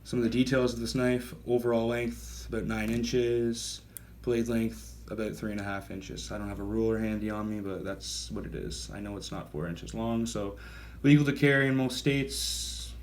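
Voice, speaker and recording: A man's voice: fairly low pitch, steady tone, moderate pace, hardly any pauses, a little hiss or hum. A man in his thirties giving a casual gear review, talking in a rambling, self-correcting and enthusiastic way. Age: 30s. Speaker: knife reviewer.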